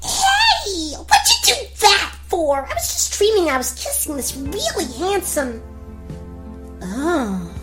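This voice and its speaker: A woman's voice: very high-pitched, huge pitch swings, slow, loud music behind the voice, heavily acted. A woman voicing a sassy, impulsive young princess, blunt and dramatic, in a cartoon parody. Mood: groggy annoyance. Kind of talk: cartoon gag-dub parody.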